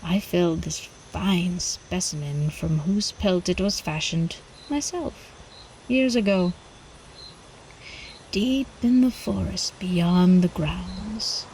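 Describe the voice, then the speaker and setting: Woman playing a slow, velvety vampire countess. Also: fairly low pitch, darkish tone, a little hiss or hum, poor audio. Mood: haughty, amused. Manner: slow, breathy, drawling, often dropping into whispers. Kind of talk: ASMR roleplay monologue. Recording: faint night insect ambience behind.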